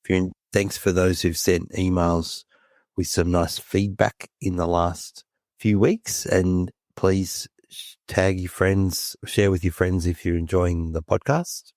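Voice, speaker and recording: Brief: very low male host with a snuffly cold, dry close sound. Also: flat delivery, slow, darkish tone, no room sound. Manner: jovial, self-mocking. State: stuffy head cold, snuffly. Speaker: podcast co-host. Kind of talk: casual chat.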